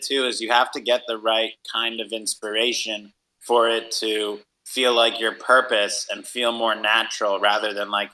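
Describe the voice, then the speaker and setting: A man's voice: on the low side, pushed and bright, poor audio. A young adult man hosting a casual interview, agreeable and informal, sprinkling in "like" and "right?". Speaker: podcast host, interviewer.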